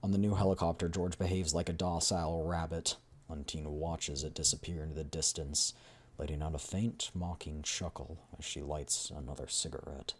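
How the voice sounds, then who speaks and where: Deep-voiced man narrating a game story. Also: flat delivery, normal speed. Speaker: streamer reading a game story aloud. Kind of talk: dramatic scripted narration.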